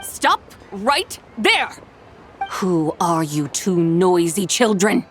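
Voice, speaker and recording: Haughty, lively immortal spirit. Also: female voice, on the low side, slow pace, noisy background. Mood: imperious. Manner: imperious, formal, archaic phrasing. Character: haughty, eccentric female adeptus spirit, imperious. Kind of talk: video game cutscene dub acting.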